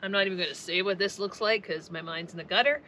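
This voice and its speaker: A woman's voice: medium pitch, fast, background noise. A woman in her forties chatting casually and bluntly to an action camera on a boat deck while doing chores. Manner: frank, blunt and joking. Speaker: boat-living vlogger. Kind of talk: casual vlog chat. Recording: music bed under the voice.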